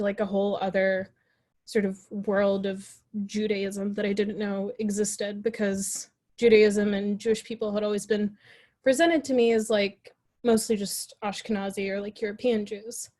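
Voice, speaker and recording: A woman's voice: medium pitch, a little mellow. A woman on a discussion panel telling a personal story, reflective and chatty, with fillers and restarts. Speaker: panel guest.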